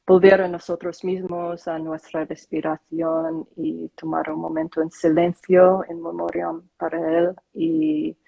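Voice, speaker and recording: Slow-spoken husky woman. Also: fairly low pitch, mellow, lo-fi sound. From Korea. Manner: slow, careful, hesitant speech. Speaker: guest. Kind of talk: conversational online interview. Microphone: video call.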